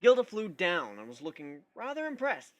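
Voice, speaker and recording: A man voicing a cocky, introspective young male griffin in an expressive dramatic story reading. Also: high voice, normal speed, voice pushed up. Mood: intense, proud. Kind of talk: dramatic first-person fan fiction reading.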